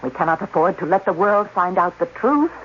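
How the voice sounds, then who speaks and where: A man's voice: sits high, lively intonation, a little fast. A man playing a cunning, impassioned scientist in a radio drama, speaking with intense, persuasive insistence. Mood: persuasive, urgent. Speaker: actor. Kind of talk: 1980s radio drama acting. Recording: dull, noisy old radio recording.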